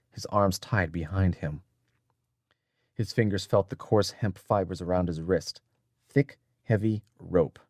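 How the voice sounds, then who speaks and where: Low-voiced man narrating an adventure story. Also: darkish tone, no room sound, studio quality. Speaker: audiobook narrator. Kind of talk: scripted narration of adventure fiction.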